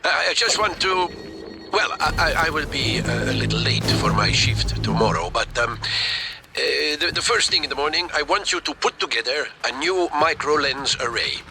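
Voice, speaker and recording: An actor playing a flustered middle-aged scientist leaving a hesitant, stammering voice message in a video game. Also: male voice, a little high, animated, moderate pace, over music. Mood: hesitant, apologetic. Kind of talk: video game audio log acting.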